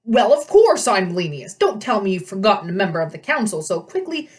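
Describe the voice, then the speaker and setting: A woman's voice: mid-range voice, very animated, a little fast, far from the mic, close to an everyday voice. A woman voicing a very old, fat male satyr, pompous and indignant, blustering and stammering as she reads a storybook aloud. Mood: huffy, dismissive. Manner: huffy, blustering, stammering when flustered.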